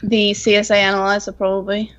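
A woman co-hosting a podcast, chatting casually in a somewhat halting way. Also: mid-range voice, completely flat delivery, fairly bright tone, muffled recording. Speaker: podcast co-host. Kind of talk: casual chat.

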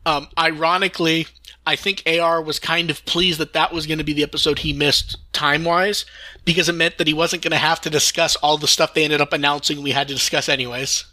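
A man's voice: fairly high pitch, little pitch movement, fairly quick, bright, forceful tone. A man hosting a fan podcast, talking casually in informal discussion. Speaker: podcast host.

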